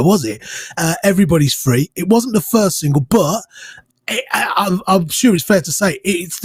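High-voiced husky interviewer. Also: male voice, no echo. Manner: halting, many restarts, enthusiastic. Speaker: radio interviewer, host. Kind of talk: casual interview.

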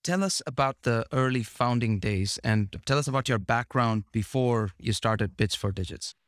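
A man's voice: mid-range voice, normal speed, no echo, studio quality. A man hosting a podcast, chatting casually and rambling with many restarts. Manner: rambling, restarts phrases, fillers. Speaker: podcast host. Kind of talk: casual interview talk.

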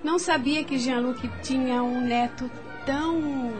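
An elegant society hostess acted by a woman speaks in a fairly high, theatrical voice over clear music. Her Brazilian-accented delivery is genteel and effusive, made for a period radio drama. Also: steady tone, unhurried, a crowd in the background. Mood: effusive, curious.

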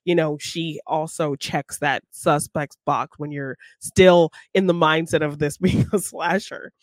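A woman co-hosting a podcast, chatting casually about film in an animated, giggly way. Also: sits low, a little slow, dry recording. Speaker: podcast co-host.

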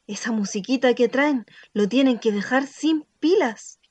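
A woman's voice: on the high side, measured pace, darkish tone. A woman playing a frank, earthy neighbour in a radio drama, with a Chilean accent and blunt, colloquial talk. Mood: gossiping.

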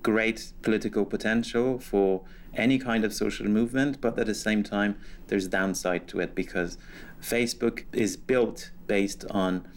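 A man's voice: fairly low pitch, slight background noise. A man speaking as a podcast guest, answering thoughtfully with hesitant restarts. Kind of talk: conversational interview answers.

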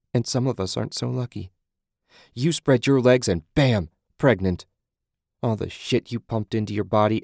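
A man voicing a furious, grieving woman in an audiobook, vengeful, forceful and profane as the character confronts someone. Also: mid-range voice, no room sound, clean studio sound, natural voice.

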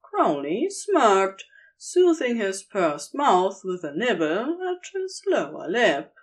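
A woman reading intimate fiction as a narrator, in a measured, expressive storytelling manner. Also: medium pitch. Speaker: audiobook-style podfic narrator.

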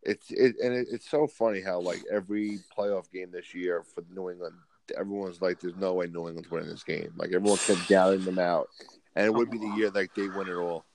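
A man, a guest on a sports podcast, talking in a relaxed, hesitant way. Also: low voice, fairly quick, a little hiss or hum, low-quality recording. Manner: relaxed, hesitant, lots of "um" and restarts. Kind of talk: casual sports chat.

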